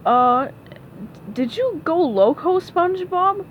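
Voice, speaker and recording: A high, animated female cartoon squirrel from Texas, bossy and exasperated as a woman reads her from a storybook. She is emphatic and no-nonsense, with faint noise on the recording. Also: slow, heavily acted. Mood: disbelieving. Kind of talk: read-aloud children's cartoon storybook. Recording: dull, noisy recording.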